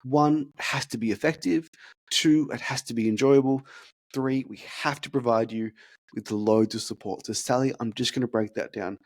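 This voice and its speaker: A man's voice: medium pitch, moderate pace. A man, casually breaking down a sales script, stuttering and repeating words as he talks. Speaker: fitness business consultant. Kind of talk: casual podcast chat, role-playing sales calls.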